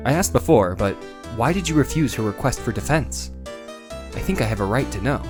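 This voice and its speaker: An actor playing an earnest, dogged and excitable young defense lawyer in a video game, with a probing way of talking. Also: male voice, medium pitch, a little fast, over music, close to an everyday voice. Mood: pressing, earnest. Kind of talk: dramatic video game character acting with inner monologue.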